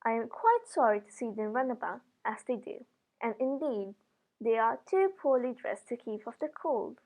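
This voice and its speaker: A woman's voice: a little high, sing-song, moderate pace, darkish tone. A woman voicing a shoemaker's kind, grateful wife in a storybook reading, in an earnest, caring way. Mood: kind, resolved.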